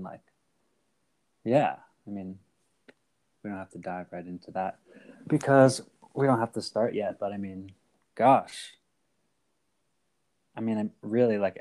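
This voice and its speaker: Low-voiced man rambling casually. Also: gravelly, darkish tone. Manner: rambling, many fillers and restarts. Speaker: podcast host. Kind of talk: casual podcast chat.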